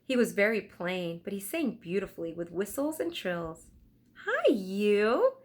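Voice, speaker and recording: A woman narrating a children's storybook aloud in an expressive, deliberate storytelling style. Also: mid-range voice. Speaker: teacher reading aloud.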